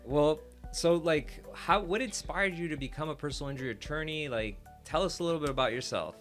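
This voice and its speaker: A man's voice: a little high, fairly quick, a bit bright, soft background music. A man co-hosting a casual interview, asking rambling, hesitant questions full of "you know" and "like". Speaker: podcast co-host.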